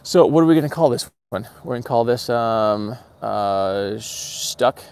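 A man's voice: medium pitch, lively intonation, measured pace, vocal fry, a little mellow, smooth. A man in his forties hosting a casual livestream from an outdoor parking lot at night, talking upbeat and chatty to viewers through a phone held at arm's length. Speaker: channel host doing a livestream. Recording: faint outdoor background noise.

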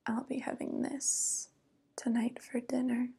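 A young woman playing a vain, sly witch in a soft-spoken ASMR roleplay, breathy and whispery, cooing with false tenderness close to the microphone. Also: fairly high pitch, little pitch movement, heavily acted. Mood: smug, sly. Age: young adult. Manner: slow, cooing, gently condescending. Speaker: ASMR performer.

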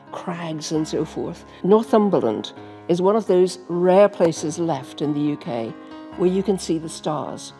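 An older woman, a writer, talking in a conversational storytelling way in a panel discussion. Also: on the low side. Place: book-lined library room, seated. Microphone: microphone on a stand.

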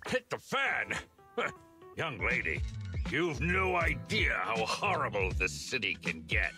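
A man's voice: mid-range voice, big pitch swings, slow pace, full character voice. A man playing a smug, burly crooked defendant in a video game, arrogant and sneering. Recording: loud music behind the voice.

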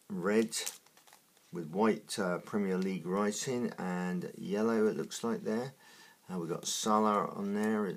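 Low, croaky man, slow and halting, casual unboxing host. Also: a little hiss or hum. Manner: slow, halting, many fillers. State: croaky, just woken up. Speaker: trading card unboxing host.

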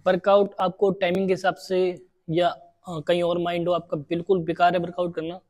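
Young male gym trainer, warm low-key voice. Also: completely flat delivery, brisk. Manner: repeats key points, spells out steps for beginners. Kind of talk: fitness tips to camera. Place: large indoor gym.

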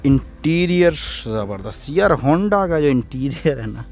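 एक पाकिस्तानी उर्दू लहजे वाला आदमी, खुले कार नीलामी यार्ड में हाथ के फ़ोन कैमरे से व्लॉग बनाते हुए, बातूनी और उत्साही अंदाज़ में।. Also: male voice, fairly high pitch, a little slow, slight background noise. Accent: Pakistani Urdu. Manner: chatty and excitable, exclaiming over cars. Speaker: vlogger touring a car auction yard. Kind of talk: casual walk-and-talk vlog. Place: outdoor car auction lot. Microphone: handheld phone camera.

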